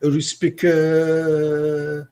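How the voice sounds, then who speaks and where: Arabic-speaking man, halting with restarts. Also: fairly high pitch, monotone, mellow. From Morocco. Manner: halting, many repeated words and restarts. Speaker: teacher. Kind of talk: interview answers.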